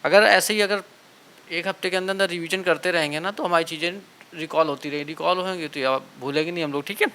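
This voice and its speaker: A man's voice: on the high side, quick pace, pushed and bright, clean tone. A man gives an informal, motivational exam-prep talk, instructing and urging students and often adding small check-in tags. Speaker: exam coaching instructor and channel host.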